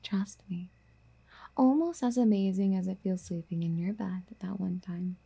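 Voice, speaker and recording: Casting: female performer as obsessive, doting yandere girlfriend for ASMR audio drama. Very soft, mellow, breathy delivery, affectionate and coaxing with a possessive streak. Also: mid-range voice, moderate pace. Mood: apologetic, soft. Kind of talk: soft intimate ASMR roleplay.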